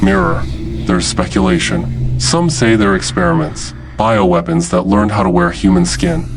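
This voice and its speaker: A man playing a grave military announcer, reading scripted horror narration as an emergency broadcast in a solemn, deliberate, ominous way. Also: on the low side, very animated, noisy background. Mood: grave, warning. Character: grave male military emergency announcer warning of uncanny threat. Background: music.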